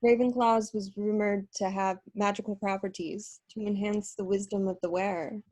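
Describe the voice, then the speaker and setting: Woman as a husky, earnest bookish young witch. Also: medium pitch, level tone, moderate pace, a little mellow, close to an everyday voice, rough recording. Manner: explanatory, earnest. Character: bookish, earnest teenage witch, know-it-all. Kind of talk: screenplay table read.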